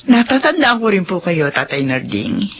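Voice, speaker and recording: A woman's voice: lowish voice, big pitch swings, moderate pace, highs cut off, a little hiss or hum. A woman playing an earnest, dignified middle-aged school principal and mother in a radio drama, speaking politely and with measure.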